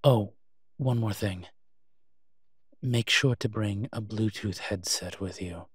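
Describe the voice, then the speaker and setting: A man playing a proud, flirtatious incubus demon professor in an intimate audio roleplay, husky and teasing, with hesitant, drawn-out pauses. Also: fairly low pitch, no echo, clean studio sound. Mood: businesslike, hushed.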